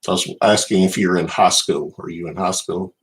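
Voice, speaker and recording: A middle-aged man with a Southern US accent and a low-pitched voice hosts a casual livestream. He talks in an unhurried, conversational way, addressing viewers directly by name. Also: rough recording. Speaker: livestream host, card collector.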